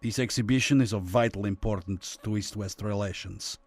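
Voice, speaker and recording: Suspicious diplomat: raspy, fairly low, formal, pointed, quietly threatening, audio drama. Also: male voice, no echo. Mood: suspicious, warning. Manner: measured courtesy, pointed. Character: formal, suspicious, subtly menacing diplomatic official. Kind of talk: radio drama acting.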